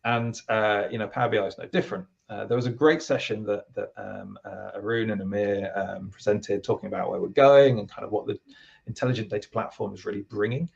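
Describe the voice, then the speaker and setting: British guy on a video call, low gravelly voice, rambles with lots of ums, kinda dull lo-fi sound. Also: fairly quick. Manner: relaxed, rambling explanations with many fillers. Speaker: product team guest. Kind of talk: casual interview talk.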